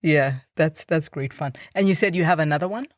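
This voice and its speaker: A podcast host interviewing a guest, affirming often and laughing easily. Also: male voice, on the high side, fairly quick, no room sound, clean studio sound. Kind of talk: casual interview chat.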